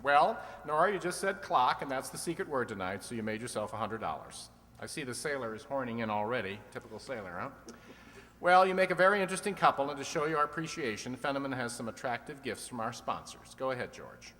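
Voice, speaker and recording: A man's voice: medium pitch, expressive, fast, sharp tone. A man playing a wisecracking, teasing comedian who hosts an old-time radio quiz show, needling guests with mock-obtuse questions. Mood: genial banter. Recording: echoey hall with long reverb.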